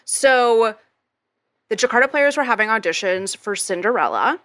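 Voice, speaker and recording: A woman's voice: on the high side, lively intonation, moderate pace, a bit bright. A woman from Indonesia, a comedian and storyteller, telling stories from her childhood in a bubbly, wry, self-deprecating way. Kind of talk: storytelling interview.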